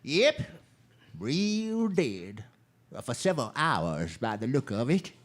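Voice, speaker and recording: A man playing a dry, crusty American frontier doctor in a radio western, wry and drawn-out. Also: medium pitch, big pitch swings, a little hiss or hum. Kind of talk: old-time radio western acting.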